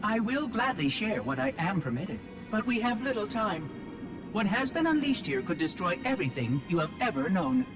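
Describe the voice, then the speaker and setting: Chirpy robot monitor, high voice, very lively intonation, fussy, pompous, precise, game cinematic. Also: male voice, normal speed. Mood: grave. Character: prim, chirpy, eccentric male robotic monitor of an ancient installation. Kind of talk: video game cinematic acting. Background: loud cinematic music.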